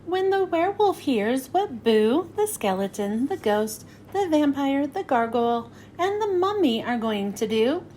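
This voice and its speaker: High, lively woman reading a children's storybook. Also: unhurried, hardly any pauses, a little hiss or hum. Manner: expressive, sing-song rhyming delivery. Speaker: children's read-aloud host. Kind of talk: scripted storybook narration for children.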